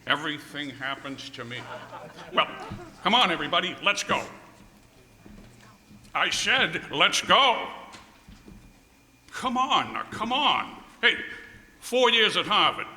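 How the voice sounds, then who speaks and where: Exasperated, stammering 1940s radio comedian husband, acted by a man, forceful and animated, highish pitch, live hall recording. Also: measured pace, long pauses, full character voice. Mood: pleading, impatient. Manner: stammering, pleading interjections. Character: exasperated straight-man comedian husband, long-suffering and flustered. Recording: echoey hall with faint noise.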